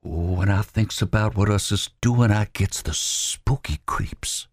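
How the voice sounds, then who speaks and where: A man's voice: low voice, wildly swooping pitch, measured pace, slightly rough, no room sound, studio quality, exaggerated voice. A man voicing a nervous, easily frightened young farmhand who talks anxiously. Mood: fearful. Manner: anxious, fearful dialect speech.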